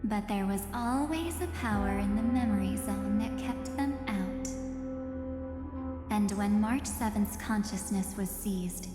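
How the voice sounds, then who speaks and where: Woman voicing a slow, enigmatic young woman. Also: mid-range voice, close to an everyday voice. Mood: knowing, composed. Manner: slow, knowing, teasing. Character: composed, enigmatic young woman who knows hidden truths. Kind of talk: scripted video game voice acting. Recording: echoey, long reverb, loud music behind.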